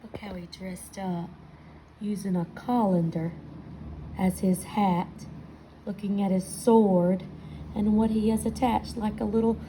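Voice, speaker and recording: A woman reading a picture book aloud to children, expressive in her storytelling and chatting to her young listeners with questions. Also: mid-range voice, unhurried, slight background noise, low-quality recording. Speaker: storybook reader.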